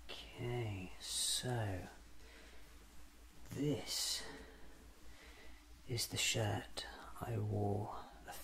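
Guy in his 30s doing asmr roleplay, soft whispery musing to himself, super slow, bit of background hiss and ambience. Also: lowish voice. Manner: musing, talking to himself in short halting phrases.

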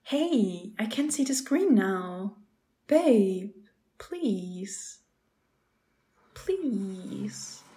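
A woman's voice: mid-range voice, sing-song, very slow, pauses often, dark tone. A woman playing a playful, game-obsessed young girlfriend in an ASMR roleplay, talking straight to the listener. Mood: playful, pleading. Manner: playful, pleading, talks to the listener as "babe".

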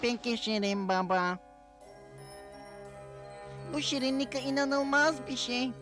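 High-voiced sporty little male smurf. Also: slow pace. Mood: reluctant, grumbling. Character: sporty male little blue smurf, grumbling but good-hearted. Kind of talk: animated cartoon dub. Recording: music under the voice.

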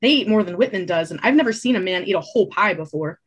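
A woman voicing a sulky teenage boy who answers tartly and whines, with a slightly husky tone. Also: medium pitch, lively intonation, fast. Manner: whiny, sarcastic.

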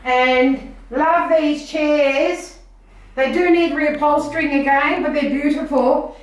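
A woman's voice: sits high, fairly even intonation, takes it slowly. A middle-aged Australian woman giving a chatty, enthusiastic walk-through of auction items as the presenter. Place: small indoor rooms of an auction house. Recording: very echoey bare rooms.

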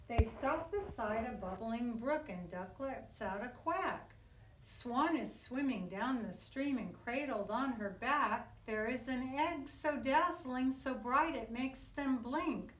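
A middle-aged woman, a kindergarten teacher, reading a children's picture book aloud in an expressive, sing-song storytelling manner. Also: fairly high pitch, takes it slowly, slight background noise. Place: indoor classroom. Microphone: camera a few feet away. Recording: roomy echo, distant microphone.

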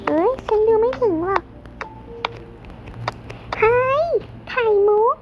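A young-sounding performer playing a vain, jealous schoolgirl in an amateur animated game skit, exclaiming dramatically. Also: very high-pitched, takes it slowly, muffled recording, very noisy. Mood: indignant. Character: vain, jealous schoolgirl scheming against a rival classmate. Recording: loud music over voice. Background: loud music.

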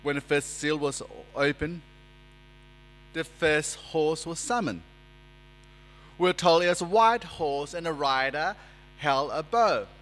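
A man preaches from a lectern in a church, measured and emphatic as he builds his points. His voice sits a little high and sounds bright and ringing. The long reverb of the hall trails each phrase, with a low mains hum underneath. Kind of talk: sermon. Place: church sanctuary, indoors. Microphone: lectern microphone.

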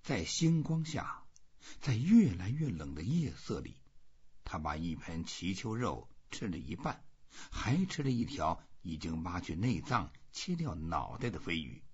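Deep, husky male audiobook reader. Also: big pitch swings, a little slow, darkish tone. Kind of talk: scripted literary narration. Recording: dull, muffled lo-fi audio.

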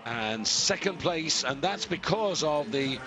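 A man giving live, measured commentary on a ski jumping competition, explaining scores and distances with pauses and fillers. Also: on the high side, animated. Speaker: sports commentator.

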